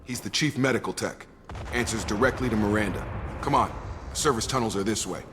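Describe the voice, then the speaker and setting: An actor playing a steady male soldier who speaks in a level, commanding way in a video game. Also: fairly low pitch, brisk, noisy background, lightly acted. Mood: calm.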